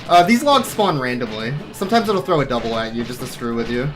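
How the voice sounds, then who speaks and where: A man's voice: medium pitch, big pitch swings, fast talker. A man giving casual, joking live commentary as he speedruns a video game. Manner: wry, joking. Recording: voice under loud game music. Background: loud game music.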